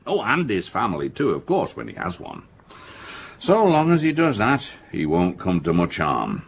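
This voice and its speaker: Pompous older patriarch, lively intonation. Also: male voice, sits low, normal speed, vocal fry, breathy edge, exaggerated voice. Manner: lecturing, hearty, self-important. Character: pompous, self-satisfied older businessman and family patriarch. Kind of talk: British radio drama acting.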